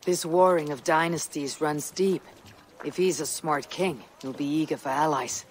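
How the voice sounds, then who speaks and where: A woman's voice: deep voice, normal speed, slight background noise, lightly acted. An actress playing a tough, blunt young female Viking warrior with a dry, terse delivery in a video game. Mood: dry, probing. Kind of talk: video game cutscene acting.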